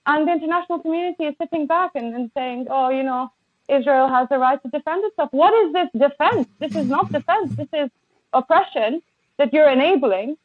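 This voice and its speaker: Woman, podcast guest, impassioned, stumbling restarts, fairly high voice, tinny call audio. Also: expressive, no echo. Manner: halting, many restarts and repeated words. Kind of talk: impassioned conversation. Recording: tinny phone-like line, dry.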